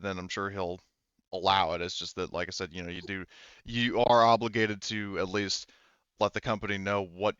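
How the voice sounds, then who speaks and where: A man's voice: lowish voice, a bit bright, smooth, dry recording, muffled recording. A man acting as game master, casually explaining how things work to the players in a loose, conversational way. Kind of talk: casual tabletop game refereeing.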